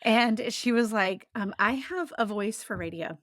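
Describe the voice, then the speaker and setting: A woman's voice: fairly high pitch, a little slow, a bit bright, dry recording, clean studio sound. A woman in her forties, a business coach guesting on a podcast, telling stories in a chatty way with frequent laughs. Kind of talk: casual interview chat.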